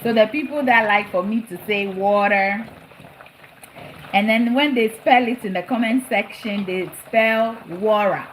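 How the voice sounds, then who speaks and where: A woman's voice: medium pitch, measured pace, low-quality recording. A middle-aged woman with a slight New Jersey accent chats casually to live-stream viewers as she cooks, often repeating phrases for emphasis. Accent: New Jersey-influenced. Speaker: live-stream cooking host. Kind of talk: casual live chat with viewers while cooking. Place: home kitchen. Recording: food frying and boiling noise behind. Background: sizzling, bubbling cooking sounds.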